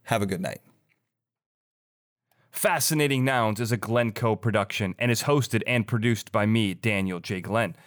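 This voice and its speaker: A man's voice: on the low side, moderate pace, fairly bright tone. A man hosting a podcast in an effusive, talkative way, chatting and plugging the show. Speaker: podcast host. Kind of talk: chatty interview and sign-off plugs.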